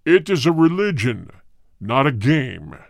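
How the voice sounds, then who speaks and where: A man acts out a spirit of the dead from a ghost story, deep and cold. The delivery is grave and formal, each phrase measured and hard. The pitch still swings within the solemn lines, and the sound is dry, with no room around it. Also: big pitch swings, vocal fry, no echo. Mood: stern. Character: solemn spirit of the dead speaking through a medium.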